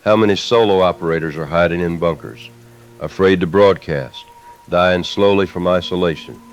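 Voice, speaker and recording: Low-voiced, weary resistance commander played by a man. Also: normal speed, highs cut off, faint music behind. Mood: weary despair. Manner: grim, grave, burdened. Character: weary male resistance base commander after machine apocalypse. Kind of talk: post-apocalyptic audio drama acting.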